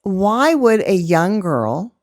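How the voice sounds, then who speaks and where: A woman hosting an interview, asking her questions in a measured, drawn-out way. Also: medium pitch, very animated, darkish tone. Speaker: interview host.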